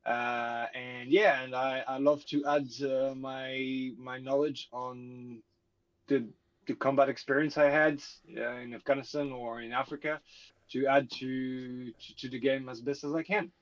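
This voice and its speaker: A man's voice: medium pitch, little pitch movement, measured pace. Man, 30s, French accent, earnest, searches for words, muffled lo-fi mic. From France. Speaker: podcast guest. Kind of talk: self-introduction on a video call.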